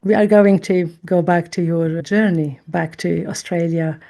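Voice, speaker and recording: A woman hosting a podcast, asking slow, supportive questions of her guest. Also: lowish voice, steady tone, gravelly, mellow. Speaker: podcast host. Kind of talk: interviewing.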